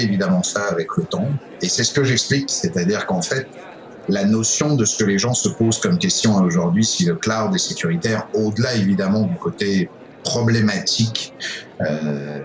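A middle-aged man with a French accent from France, answering interview questions informally in a halting, digressive way with frequent self-corrections. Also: deep voice, poor audio. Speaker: conference speaker, cybersecurity specialist.

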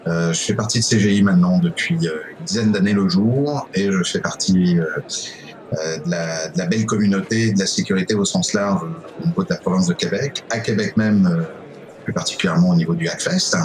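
Low-voiced middle-aged man from France. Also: poor audio. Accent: French from France. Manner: halting, digressive, frequent self-corrections. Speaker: conference speaker, cybersecurity specialist. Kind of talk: informal interview answers.

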